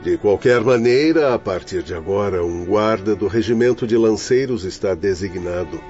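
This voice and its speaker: A man's voice: on the low side, moderate pace, natural voice. A man playing a stern but benevolent doctor in a radio drama, formal and commanding. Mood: firm, restrained. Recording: muffled audio with soft music.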